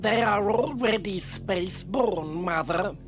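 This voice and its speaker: A woman's voice: mid-range voice, takes it slowly, lightly acted. A woman voicing a whiny, doubtful young android minion of a villainess in a puppet sci-fi series. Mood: deferential. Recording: music behind the dialogue.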